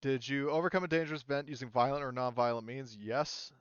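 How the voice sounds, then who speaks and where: A man's voice: mid-range voice, fairly even intonation, fast, clear, resonant tone, no room sound, dull audio. A man chatting casually as the game master of a tabletop roleplaying session.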